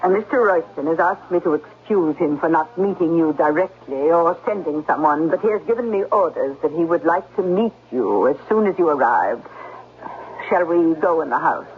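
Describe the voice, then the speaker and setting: A woman's voice: low-pitched, expressive, normal speed, a little hiss or hum. A woman playing a stern, brusque housekeeper in a gothic radio drama, formal and clipped in manner. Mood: formal, brisk. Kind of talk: 1970s radio drama acting. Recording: thin phone-like old radio broadcast.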